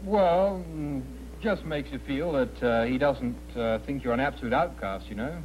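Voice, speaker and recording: A man's voice: mid-range voice, moderate pace, a little mellow, soft background music, low-quality recording. A man from England with an English accent, answering a quiz host's questions politely and modestly. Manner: polite and understated. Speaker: contestant. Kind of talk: game show interview.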